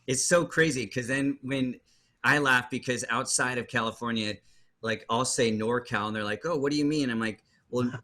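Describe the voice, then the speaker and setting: A man's voice: medium pitch, fairly even intonation, normal speed, bright, forceful tone. A man hosting a conversational podcast, asking loose, rambling questions full of fillers. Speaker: podcast host. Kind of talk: casual conversational interview.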